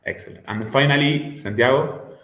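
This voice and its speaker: A man's voice: medium pitch, normal speed, fairly bright tone. A man hosting an online forum over a video call, introducing speakers in a hesitant way with many fillers. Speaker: host. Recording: echoey room audio.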